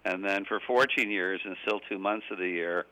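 Casting: older American male priest as radio guest, low but crisp-edged bright tone, matter-of-fact. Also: moderate pace, poor audio. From United States. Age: 70s or older. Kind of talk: conversational radio interview.

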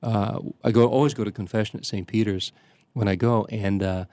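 An older man, a priest from the Chicago area, telling stories in casual conversation, halting often with fillers and restarts. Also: fairly low pitch, fairly even intonation, a little mellow, no echo. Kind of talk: conversational storytelling.

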